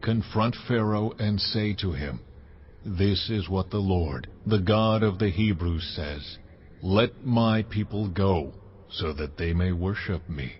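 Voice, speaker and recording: A stern, low-voiced God. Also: male voice, slow pace. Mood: stern warning. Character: God, commanding, solemn and stern deity. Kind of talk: dramatized audio Bible acting. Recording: dull lo-fi audio with background noise.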